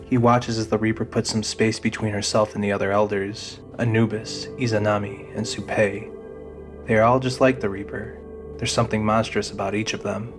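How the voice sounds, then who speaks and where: Low-voiced male narrator over music. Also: flat delivery, normal speed. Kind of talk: scripted audio drama narration. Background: music under the narration.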